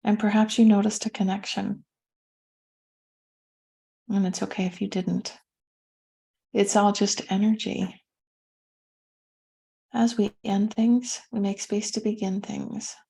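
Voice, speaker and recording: A woman leading a guided meditation as a teacher, speaking gently and soothingly with pauses between phrases. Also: medium pitch, flat delivery, creaky.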